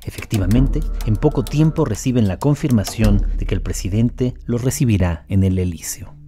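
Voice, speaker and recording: A man's voice: lowish voice, normal speed, over music. A man reading scripted narration for a documentary drama.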